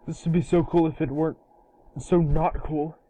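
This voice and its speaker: A man voicing a cocky, excitable boy hero with casual kid slang in a cartoon table read. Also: a little high, moderate pace, natural voice, rough recording. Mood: worried. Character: cocky, excitable boy turned fire alien. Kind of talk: animated series table read.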